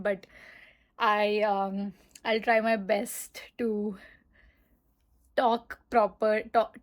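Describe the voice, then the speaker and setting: A young woman from Mumbai with an Indian accent, talking casually as a vlogger, rambling with many fillers and restarts. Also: medium pitch. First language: Marathi. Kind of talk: casual chat to camera.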